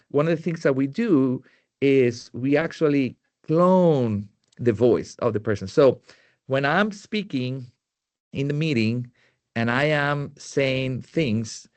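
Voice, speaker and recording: A man's voice: mid-range voice, lively intonation, no echo. Puerto Rican male presenter, Spanish native, mid voice, hesitant delivery, dry sound. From Puerto Rico. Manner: halting, many fillers and restarts. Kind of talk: tech presentation.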